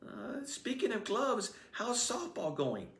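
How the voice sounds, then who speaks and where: A man's voice: very high-pitched, very animated, slow pace, a bit bright, far from the mic, pitched above the performer's own voice, full character voice. A man voicing a shy, bashful teenage boy who stammers and hesitates, read aloud in an echoey room. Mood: encouraging.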